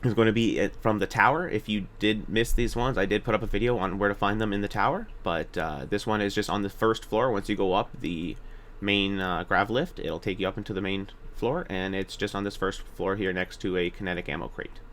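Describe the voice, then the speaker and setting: A man's voice: on the low side, brisk, fairly bright tone. A man narrating a video game walkthrough in a casual, informal way, hesitating and correcting himself as he gives directions. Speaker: gaming video guide narrator.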